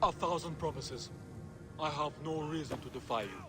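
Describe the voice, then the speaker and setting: A man's voice: a little high, expressive, normal speed, over music, lightly acted. A man playing a sly, haggling merchant in a video game, with a theatrical, teasing, wheedling delivery. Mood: sly bargaining. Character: sly, haggling merchant trading wares and secrets. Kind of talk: video game cutscene acting.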